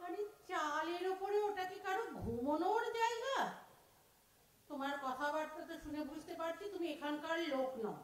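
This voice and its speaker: A woman's voice: extremely high pitch, normal speed, distant mic. A woman playing a startled, scolding lady of the house in an audio drama, exclamatory and questioning. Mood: suspicious. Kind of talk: Bengali audio drama acting. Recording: echoey room.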